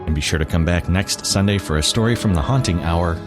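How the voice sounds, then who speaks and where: A man's voice: low-pitched, fast. A man giving a scripted sign-off as a podcast host. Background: loud music.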